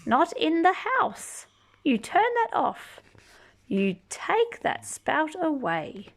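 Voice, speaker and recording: A woman's voice: high-pitched, very animated, takes it slowly, vocal fry, pitched above the performer's own voice, exaggerated voice. A woman voicing a strict, exasperated mother from a storybook, bossy and emphatic. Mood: stern, exasperated. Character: strict, exasperated mother scolding her child.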